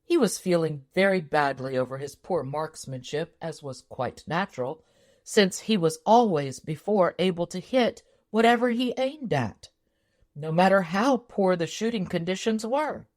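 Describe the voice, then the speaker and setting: A woman's voice: lowish voice, lively intonation, unhurried, a little mellow. A woman reading a tall tale aloud as scripted storybook narration. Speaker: audiobook narrator.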